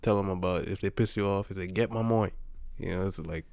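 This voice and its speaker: A man's voice: sits low, fast, darkish tone, clean tone. A man from the Bahamas chatting casually over drinks, hesitant, often repeating words and laughing.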